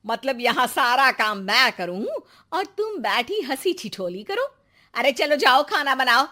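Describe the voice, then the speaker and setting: A woman's voice: high-pitched, big pitch swings, a little slow, pushed and bright, pitched above the performer's own voice, full character voice. A woman voicing a fussy mother-in-law in a moral story, protesting and emphatic, by turns meek and bossy. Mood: bossy, annoyed.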